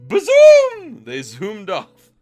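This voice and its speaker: A man doing a mocking dramatic reading on a livestream, hammy and prone to breaking into shouts and laughter. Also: extremely high pitch, huge pitch swings, very slow, soft background music. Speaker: streamer reading fan fiction aloud.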